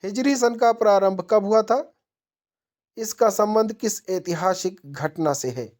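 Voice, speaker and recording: Mellow-voiced woman teaching. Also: medium pitch, unhurried. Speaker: exam-prep teacher. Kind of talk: question-and-answer quiz lesson narration.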